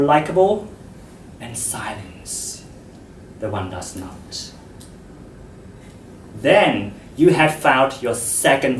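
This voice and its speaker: A man giving a slow, deliberate public talk to an audience, pausing often, his first language Vietnamese. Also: mid-range voice, slight background noise. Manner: slow and deliberate, with frequent pauses. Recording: roomy hall, voice from far off.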